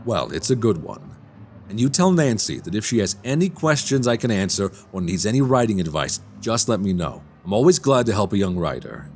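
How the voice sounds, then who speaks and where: An affable bachelor writer in a radio drama, with a fairly low voice that moves around a lot. He is wry, theatrical and happy to poke fun at himself. Faint music plays underneath. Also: big pitch swings, a little fast, a little mellow. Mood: warm, thoughtful. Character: affable, self-deprecating bachelor writer, amused by rumors.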